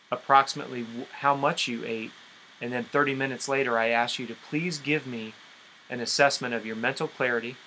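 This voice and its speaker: A man's voice: medium pitch, bright, forceful tone, slight background noise, poor audio. A man coaching nutrition on a conference call in an emphatic, explanatory way, often checking in with his listeners.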